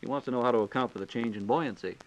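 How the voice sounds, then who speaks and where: A man's voice: medium pitch, very fast, poor audio. An American man hosting a scripted 1940s radio program, announcing with folksy, courteous patter.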